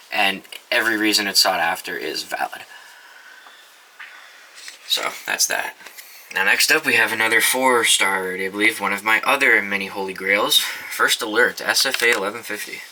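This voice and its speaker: A man's voice: on the low side, moderate pace, sharp tone, a little hiss or hum, poor audio. A young man casually reviewing his collection of weather radios, offhand and swearing now and then, with many ums and restarts. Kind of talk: casual show-and-tell review.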